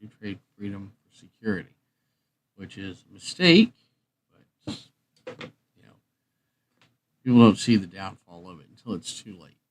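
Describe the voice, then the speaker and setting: Rambling man chatting on a livestream, lowish voice. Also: big pitch swings, a little slow, long pauses, slight background noise, low-quality recording. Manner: rambling, thinking aloud while working. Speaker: livestream host. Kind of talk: casual livestream chat.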